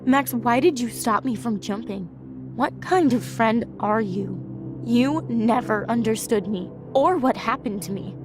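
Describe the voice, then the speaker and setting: A woman's voice: fairly high pitch, unhurried, over music, lightly acted. A woman playing a despairing, accusing teenage girl in a video game, bitter and reproachful.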